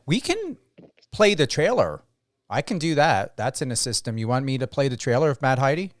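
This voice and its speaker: A man's voice: mid-range voice, sing-song, moderate pace, no room sound. Dry-sounding male radio host. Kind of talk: casual interview questions.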